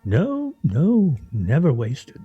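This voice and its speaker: A man's voice: on the high side, huge pitch swings, very slow, mellow, husky, lo-fi sound, faint music behind, heavily acted. A man acting in a radio play as a pompous, needling husband with a florid, mock-formal, teasing way of talking. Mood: smug, teasing. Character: pompous, needling, theatrical husband and scrabble player.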